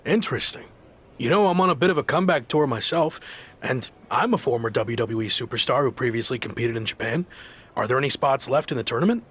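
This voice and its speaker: A man playing a dry, understated former wrestling star on a comeback in a video game cutscene, with a husky voice. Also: mid-range voice, lively intonation, fast talker, fairly bright tone. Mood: dry, curious.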